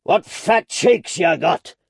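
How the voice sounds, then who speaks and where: Menacing convict, strained gravelly rasp. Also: male voice, animated, slow, dry recording, clean studio sound, heavily acted. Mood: menacing, gloating. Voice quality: rough growling rasp. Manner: barked threats and commands in rustic lower-class speech. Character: menacing, ravenous, ragged escaped convict, rough grown man. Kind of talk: dramatised classic novel reading.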